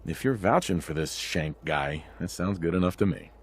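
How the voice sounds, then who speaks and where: A man's voice: low-pitched, lively intonation, normal speed, husky. An actor voicing a male raider boss, the player character, speaking in a level, matter-of-fact way.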